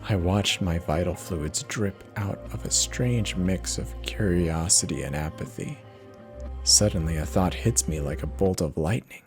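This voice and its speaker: A man giving a theatrical, expressive dramatic reading of a first-person horror story, with dramatic pauses. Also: low voice, soft background music. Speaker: narrator of horror stories.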